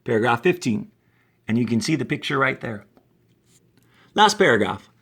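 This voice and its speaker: A man reading aloud and instructing as a fourth-grade teacher, with deliberate phrasing and dramatic pauses. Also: mid-range voice, expressive, fairly bright tone, husky. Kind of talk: expressive classroom read-aloud and instruction.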